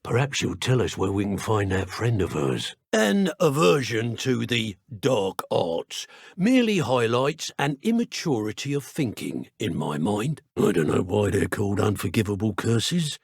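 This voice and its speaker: Casting: sneering dark wizard henchman, English accent, husky rough voice, colloquial and threatening, video game enemy dialogue, recorded dry. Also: lowish voice, very animated, unhurried, dry recording, full character voice. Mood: scheming, callous. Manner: taunting, working-class colloquial speech. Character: menacing, sneering male dark wizard thug.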